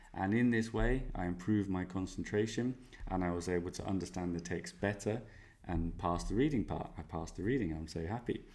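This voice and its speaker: A man's voice: lowish voice, a little mellow, natural voice. A man role-playing a cheerful, self-mocking young male student with a Spanish accent, answering interview questions in an upbeat, jokey way. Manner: upbeat, jokey, boastful asides.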